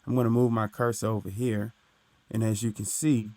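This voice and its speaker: A young man with a deep voice casually explaining recording gear, talking into his studio mic and tagging his points with "right?". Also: little pitch movement, a little fast, dark tone, no echo. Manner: explains loosely with frequent "right?" and "uh". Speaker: recording engineer teaching. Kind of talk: casual tutorial walkthrough. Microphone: studio microphone through his interface.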